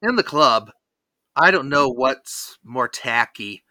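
A podcast host telling a cartoon episode recap in a lively, theatrical storytelling manner. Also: mid-range voice, takes it slowly, no echo, clean studio sound.

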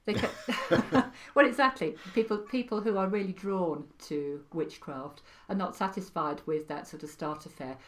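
A middle-aged woman from Wales speaks as a podcast guest, her voice sitting very low for a woman. She talks in a thoughtful, wry manner, pausing and picking her words as she goes. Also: a little hiss or hum. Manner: thoughtful, wry, with frequent pauses and fillers. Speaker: podcast guest, practising witch. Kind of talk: casual interview chat.

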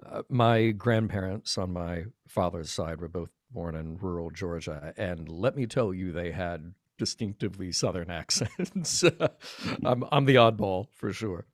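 A man's voice: deep voice, unhurried, no echo, clean studio sound. A man from Alabama with an American accent, talking thoughtfully and discursively in a casual podcast discussion. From Birmingham, Alabama, United States. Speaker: podcast guest.

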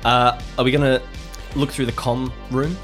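A man's voice: medium pitch, flat delivery, normal speed. A man playing in an improvised audio drama game, casually describing and voicing his character's moves. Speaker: player in an audio drama roleplay. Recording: clear music behind the voice. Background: music.

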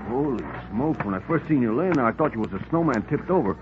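An actor playing a rough, good-hearted cab driver in a 1950s radio drama, with folksy, ungrammatical working-class speech. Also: male voice, mid-range voice, expressive, quick pace, lightly acted. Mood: startled concern. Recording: muffled, noisy vintage radio recording, narrow band.

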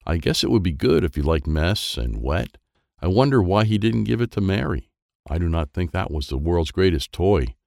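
Man playing an excitable little boy storyteller. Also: low-pitched, normal speed, gravelly, no echo, clean studio sound, heavily acted. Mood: eager, wistful. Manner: simple, wide-eyed, childlike phrasing. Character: excitable, wistful small boy narrator. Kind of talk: first-person present-tense children's storybook narration.

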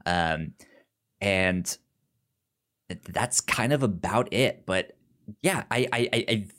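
A man co-hosting a podcast in casual film discussion, halting and often repeating words as he goes. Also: fairly low pitch, lively intonation, a bit bright. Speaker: podcast co-host.

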